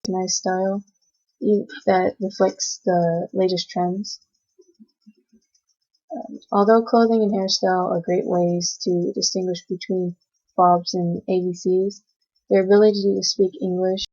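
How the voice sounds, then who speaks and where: A woman's voice: on the low side, little pitch movement, measured pace, warm tone. A young woman from the United States who grew up speaking Cantonese first, giving a class oral presentation and reading from notes with frequent hesitations. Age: young adult. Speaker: student presenter. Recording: dull lo-fi recording.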